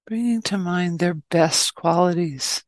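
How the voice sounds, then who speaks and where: A woman leading a guided loving-kindness meditation as a teacher, speaking gently and in measured phrases with long pauses. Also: on the low side, no room sound, studio quality.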